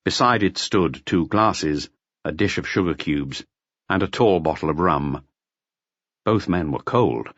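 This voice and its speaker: A man narrating a literary audiobook in a measured, expressive storytelling manner. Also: deep voice, no room sound, highs cut off. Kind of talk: scripted literary narration of a detective novel.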